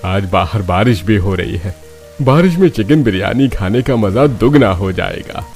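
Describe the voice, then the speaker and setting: A man's voice: on the low side, expressive, moderate pace, a little mellow, faint music behind. A man playing a playful, food-loving old village grandfather in a cartoon. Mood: eager, delighted.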